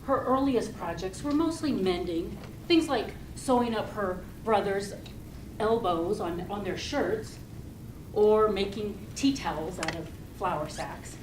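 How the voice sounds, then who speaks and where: A woman's voice: mid-range voice, lively intonation, slow. Woman storyteller in a noisy, echoey room. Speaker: club speaker giving a tall tale speech. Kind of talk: rehearsed storytelling speech to an audience. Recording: roomy, distant mic with loud noise.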